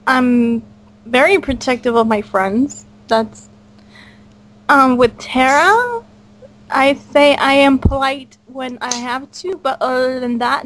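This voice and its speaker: High-pitched young-sounding woman hosting casually. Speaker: host of a cast interview for a fan audio drama. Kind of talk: casual group chat, reading out listener questions.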